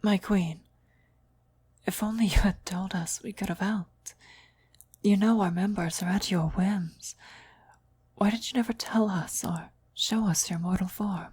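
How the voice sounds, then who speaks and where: Breathy, whispering devoted cultist servant played by a woman. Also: medium pitch, fairly even intonation, unhurried, darkish tone, dry recording. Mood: meek, eager. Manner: deferential, breathy half-whisper. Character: fawning, devoted female cultist servant of demon queen. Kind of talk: ASMR roleplay acting.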